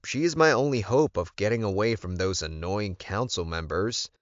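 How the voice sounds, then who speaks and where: A man's voice: on the low side, normal speed, no room sound, lo-fi sound, natural voice. A man voicing a defensive male werewolf alpha who pleads his case in an audiobook.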